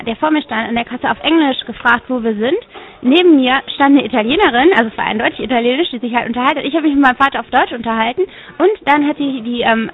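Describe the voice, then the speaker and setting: A German woman giving lively interview answers and piling up examples. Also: high voice. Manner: fast, animated, piles up examples. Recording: tinny, phone-like radio sound.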